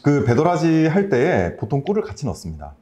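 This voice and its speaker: A man's voice: a little high, huge pitch swings, brisk, mellow. A man speaking as a doctor hosting a health show, chatting casually and good-humouredly as he explains things. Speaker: Korean medicine doctor and health show host.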